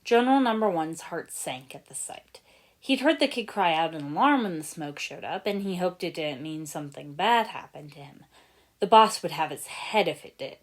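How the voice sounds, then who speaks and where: Expressive woman reading a story aloud. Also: on the low side, moderate pace. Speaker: fan fiction reader. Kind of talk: expressive scripted story narration.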